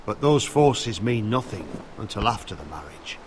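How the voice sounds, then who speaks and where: An actor playing a blunt, scornful soldier who serves a lord in a video game drama. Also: male voice, lowish voice, sing-song, normal speed. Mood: pressing, grim. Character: blunt, hard-bitten male soldier serving a lord. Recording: faint background noise.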